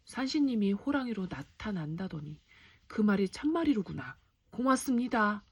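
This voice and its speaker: Lady reader doing a kind earnest folktale dad, old-fashioned formal talk, slightly husky. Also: mid-range voice, sing-song, normal speed, dry recording. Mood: relieved concern. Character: kindly, trusting father in a folktale.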